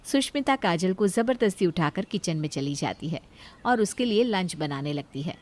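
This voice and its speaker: A woman's voice: lowish voice, animated, moderate pace, darkish tone. Woman narrating a family story.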